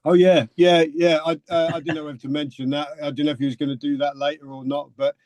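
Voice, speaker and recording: A man's voice: a little high, flat delivery, fast, a little mellow, no room sound. A man who plays drums, talking in a laid-back, easygoing way. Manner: laid-back, laughing easily. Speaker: drummer. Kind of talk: casual interview.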